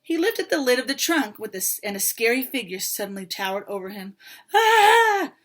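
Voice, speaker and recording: A woman reading a story aloud to young children in an expressive manner, pausing to talk to her listeners. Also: mid-range voice. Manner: expressive, pauses to ask children questions.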